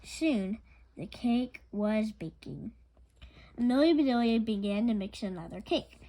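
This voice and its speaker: A woman storyteller reads a picture book aloud. Her voice sits fairly high and moves around a great deal, shaping the narration expressively for children. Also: lively intonation, a little slow, mellow, rough recording.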